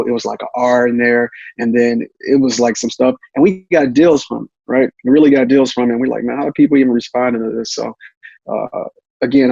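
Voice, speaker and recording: A man's voice: medium pitch, gravelly, darkish tone, slightly rough, dry recording, highs cut off. A man giving tips as an interview guest in a casual, repetitive way, often checking in with "right?". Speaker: guest, real estate investor.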